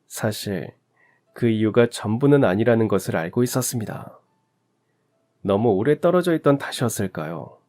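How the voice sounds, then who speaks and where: A man narrating a family story in a calm, reflective, confessional way. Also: lowish voice. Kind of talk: scripted radio story narration.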